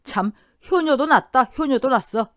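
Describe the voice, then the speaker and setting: A woman's voice: sits high, expressive, normal speed, no echo, clean studio sound, pitched above the performer's own voice. A woman voicing a cruel older stepfather who mocks and taunts in a radio drama. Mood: mocking. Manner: mocking, taunting.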